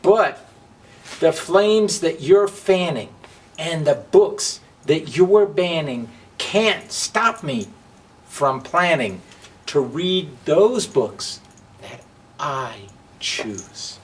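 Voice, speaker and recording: A man's voice: fairly high pitch, expressive, very slow. A man voicing a plucky, defiant talking book in a storybook, with cheeky, emphatic delivery. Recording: distant mic with faint background noise.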